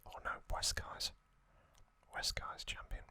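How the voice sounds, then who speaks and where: A man whispers his way through a relaxed ASMR recap, slow and unhurried. His whisper is crisp and bright, and he hesitates often with soft ums between thoughts, giving casual fan opinions as he goes. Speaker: ASMR host.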